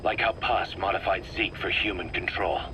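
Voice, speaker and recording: Guy acting cool skeptical army interrogator in a game, lowish voice, terse, noisy tape. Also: fairly even intonation, moderate pace, close to an everyday voice. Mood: skeptical, probing. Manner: terse, probing. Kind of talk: dramatic video game voice acting. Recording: clear background noise, interrogation tape.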